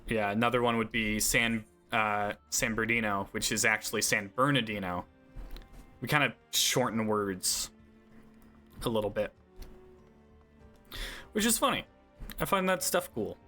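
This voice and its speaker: A man's voice: fairly low pitch, a little slow, pauses often, soft background music. A young man from California with a Californian accent, chatting casually as a streamer while playing, full of "like" and "um". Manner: relaxed, many "like" and "um" fillers. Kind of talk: casual chat while playing and reading game text aloud.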